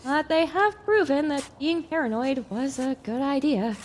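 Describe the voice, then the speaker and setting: A woman acts a young pony heroine in an audio drama. Her voice is high, earnest and caring, with an anxious, self-reproaching streak. Faint ambient noise sits behind her. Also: measured pace. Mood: troubled, self-reproaching.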